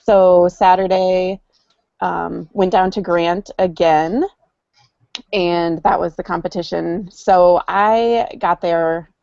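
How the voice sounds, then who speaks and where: A woman talks through her weekend in a casual podcast chat, pausing often with ums and restarting her sentences. Her voice sits low and the sound is dry and close. The audio is dull and lo-fi. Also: fairly even intonation, gravelly, warm tone, no room sound. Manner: rambling, many ums and restarts. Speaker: podcast co-host.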